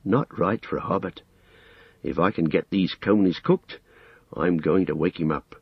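A stubborn, loyal hobbit servant acted by a man reading a storybook. He is blunt and homely, with a low, husky voice and rustic turns of phrase. The sound is slightly dull and lo-fi. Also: animated, a little slow, no echo, heavily acted. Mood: tender muttering. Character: plain-spoken, gruff, stubborn, loyal male hobbit servant.

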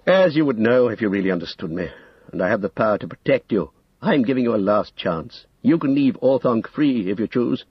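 A man's voice: mid-range voice, expressive, brisk, breathy edge, no room sound, muffled recording. A man voicing a stern, weary old male wizard who speaks with commanding authority in an audiobook story. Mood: grave, firm.

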